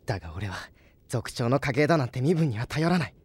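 A man's voice: medium pitch, lively intonation, fast, a little mellow, husky. An actor playing a determined, proud young man training to be a knight in an anime audio drama.

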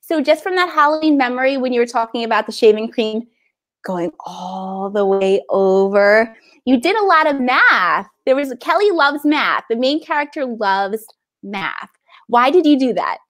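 High-voiced, smiling American woman hosting. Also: animated, normal speed, fairly bright tone. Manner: smiling, enthusiastic. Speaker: library event host. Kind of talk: upbeat hosting and interviewing.

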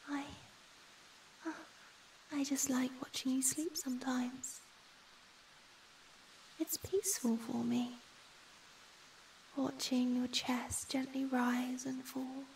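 A woman voicing a shy, gentle young ghost girl in a soft, breathy, often whispered ASMR roleplay, speaking hesitantly and tenderly. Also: high-pitched, flat delivery, slow, long pauses, distant mic, a little hiss or hum, poor audio. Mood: shy, wistful.

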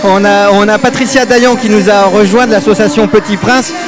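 A man's voice: high-pitched, fairly even intonation, normal speed. A man hosting a live talk segment, talking with many fillers and restarts into a handheld mic in a large indoor arena. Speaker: host. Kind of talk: live talk show interview. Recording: music and crowd noise behind.

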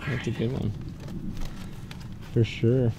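Low-key man chatting over clear background noise. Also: flat delivery, slow pace. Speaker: trapping companion off camera. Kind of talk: casual chat. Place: outdoors by creeks and marshy fields.

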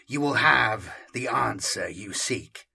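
A frail old scholar of dark arts, acted out by a male narrator, speaks in a harsh, quavering rasp. He is sly and avaricious, drawing out his words slowly in a grim science fiction audiobook. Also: on the low side, animated, heavily acted. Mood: cautious, placating.

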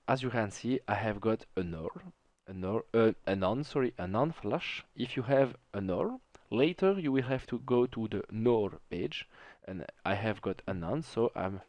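A low-pitched young man with a French-speaking Belgian accent talks viewers through a tutorial step by step. His non-native English halts and restarts often. The sound is dry and close, with no room echo. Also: a little mellow. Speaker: tech tutorial maker. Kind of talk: screen-recorded how-to walkthrough.

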